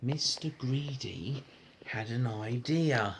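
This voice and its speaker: A man's voice: medium pitch, slow pace, noisy background. A man reading a storybook aloud to a child, chatting in a coaxing way with frequent little questions. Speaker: parent reading a bedtime-style story to a child.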